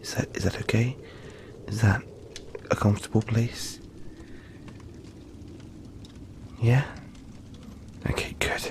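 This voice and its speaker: Man playing a gentle, slow-talking yeti boy. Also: lowish voice, steady tone. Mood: tender, soothing. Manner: soft-spoken, reassuring, halting, often breathy near-whisper. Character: gentle, friendly, shy cuddly young male yeti. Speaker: audio roleplay performer. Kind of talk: intimate audio roleplay addressed to the listener.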